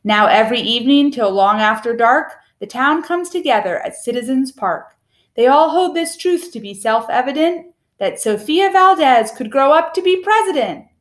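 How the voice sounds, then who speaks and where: A woman reads a children's picture book aloud expressively, with a measured, rhythmic delivery of rhyming verse. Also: high-pitched, expressive.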